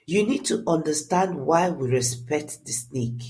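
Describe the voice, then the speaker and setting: A solemn aunt and keeper of family lore, played by a narrator, speaks instructively. Her intonation is lively and her pitch sits in the middle. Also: unhurried, close to an everyday voice.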